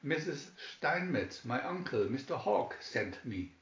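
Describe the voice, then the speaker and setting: A man's voice: medium pitch, very animated, a little slow, fairly bright tone. A man voicing a naive, idealistic and earnest young man in a storybook reading. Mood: polite. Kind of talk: storybook read-aloud. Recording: dull, roomy home recording.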